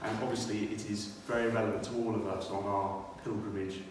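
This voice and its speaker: A man's voice: lowish voice, little pitch movement, brisk. British man testifying in an echoey church. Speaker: church speaker giving testimony. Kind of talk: personal testimony and scripture reading. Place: inside a church. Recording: echoey church hall, distant, faint noise.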